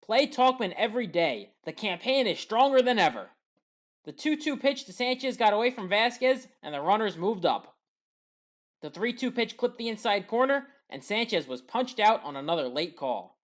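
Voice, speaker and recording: A woman giving scripted play-by-play breakdown narration as a sports highlights commentator, in a matter-of-fact, clipped way. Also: sing-song, moderate pace.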